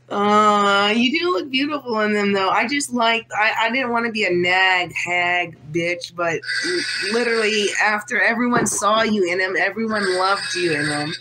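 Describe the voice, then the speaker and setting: A middle-aged American woman rambling on a livestream in a profane, confrontational manner. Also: medium pitch. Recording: noisy livestream replay with room ambience.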